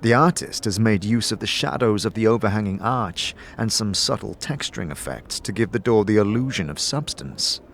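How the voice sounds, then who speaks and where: A man delivering measured, scripted second-person narration for a fantasy audio drama, telling it like a story. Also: lowish voice, expressive, gravelly, a little hiss or hum.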